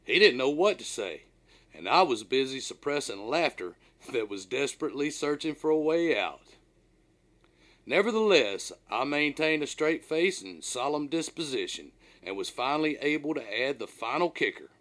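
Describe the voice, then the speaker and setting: A man's voice: medium pitch, very animated, normal speed, fairly bright tone. A man reading his own humorous story as narrator, with a dramatic, mock-serious, folksy delivery.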